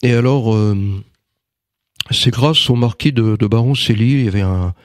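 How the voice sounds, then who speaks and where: A man's voice: mid-range voice, normal speed, dry recording, clean studio sound. A man giving an interview in casual conversation, speaking hesitantly with many fillers and restarts. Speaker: interviewee, writer and magnetizer.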